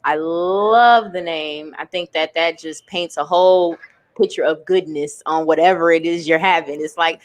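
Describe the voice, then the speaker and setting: A woman's voice: sits low, fairly even intonation, moderate pace. A woman hosting a podcast interview in an enthusiastic, slangy, playful way. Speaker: podcast host. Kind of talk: casual interview chat.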